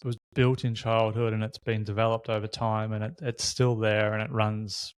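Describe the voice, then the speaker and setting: A man's voice: lowish voice, flat delivery, normal speed, smooth, no echo. A man hosts a reflective, unscripted conversation, speaking candidly and casually in short, considered bursts. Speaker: podcast host.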